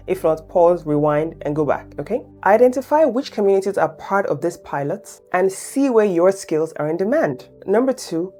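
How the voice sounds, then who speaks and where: Low-pitched woman from Nigeria hosting a lesson, encouraging tone, faint music underneath. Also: mellow. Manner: encouraging, checks in with "okay?". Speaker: French teacher and channel host. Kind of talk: explainer lesson to camera.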